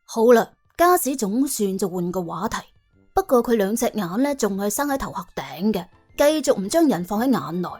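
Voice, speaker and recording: A woman narrating an audiobook in colloquial Cantonese, telling the story in a wry, dramatic, expressive way. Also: medium pitch, moderate pace, husky, soft background music.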